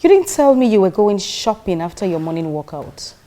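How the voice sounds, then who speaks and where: A woman's voice: medium pitch, very animated, fairly quick, warm tone, close to an everyday voice. An actress playing an incredulous woman who questions a companion insistently in a conversational film drama. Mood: disbelieving.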